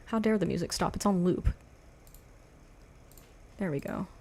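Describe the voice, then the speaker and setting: A young woman with a quiet voice hosting a livestream, reading chat and chatting frankly in a rambling way, with lots of "like". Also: lowish voice, measured pace, gravelly. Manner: rambling, frank, laughs often, says "like" a lot. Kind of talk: casual chat.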